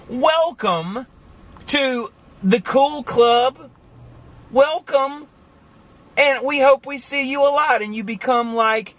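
A man in his forties talking casually to the camera from inside a car, animated and chatty, with many asides. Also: very high voice. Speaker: vlogger. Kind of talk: casual motivational talk to camera. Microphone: camera on the dashboard.

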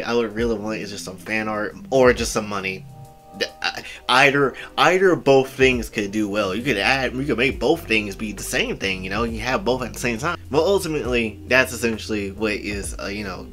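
A young man in his twenties hosts a laid-back commentary on anime fan art. His voice sits low but comes across bright and forceful, with faint music playing underneath. He rambles and leans on the same filler phrases, often swinging from loud outbursts to mumbled asides. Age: 20s. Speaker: channel host.

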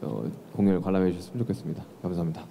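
A young man speaking into a handheld mic at a press conference, pausing with fillers as he shares thoughtful remarks. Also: low voice, vocal fry, dark tone, slight background noise. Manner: hesitant, thoughtful, many fillers. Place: large press room.

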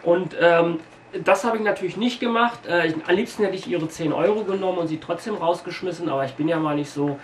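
A middle-aged man with a light Berlin accent chats through shop anecdotes, stopping to check his notes and filling the gaps with ums. His voice sits a little high for a man. It is picked up by a camera a few metres off in a small echoey room, with a faint hiss underneath. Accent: light Berlin German. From Berlin, Germany. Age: 40s. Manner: chatty, reads from notes, frequent pauses and fillers. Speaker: video host telling shop stories. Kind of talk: casual storytelling to camera. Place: small room packed with shelves, indoors. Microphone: camera mic a few metres away.

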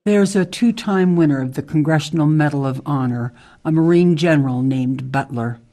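Actress as a measured, tough ex-military ranger. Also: female voice, sits low, mellow. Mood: grave, critical. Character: tough ex-military U.S. Forest Service ranger, woman, grave and outspoken. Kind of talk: radio drama acting.

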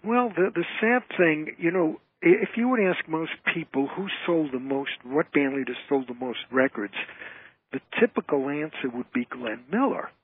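A man's voice: medium pitch, husky, no echo. A man giving an explanatory, anecdote-telling interview as a guest entertainer. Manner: storytelling, explanatory. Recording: narrowband phone-like radio line.

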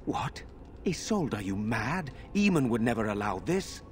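A man's voice: mid-range voice, very animated, unhurried, a little hiss or hum. A man playing a concerned, loyal nobleman in a fantasy video game, with a grave, earnest way of talking. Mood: shocked.

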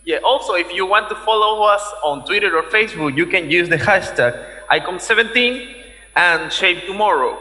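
A man's voice: sits high, lively intonation, a little slow, pushed and bright. A man co-hosting an online event, giving informal announcements to viewers. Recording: reverberant event room.

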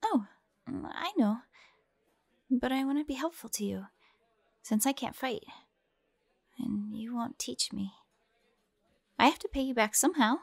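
A woman's voice: fairly high pitch, a little slow, gravelly, pauses often, no echo. A woman playing a timid, eager young waitress girl in an audio drama, sweetly earnest and hesitant, with a girlish voice.

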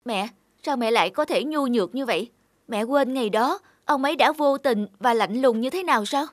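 An actress playing a headstrong, bitterly resentful young adult daughter in a cartoon drama, speaking emphatically and reproachfully. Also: female voice, fairly high pitch, animated, normal speed, dry recording, studio quality. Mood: angry, hurt. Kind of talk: animated drama dubbing. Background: music.

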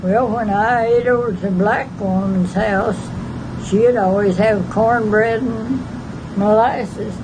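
A woman's voice: mid-range voice, steady tone, slow, background noise. A woman in her nineties with a Texan accent, reminiscing plainly and laughing often on an outdoor patio. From Texas. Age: 90s. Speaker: interviewee. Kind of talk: casual reminiscing.

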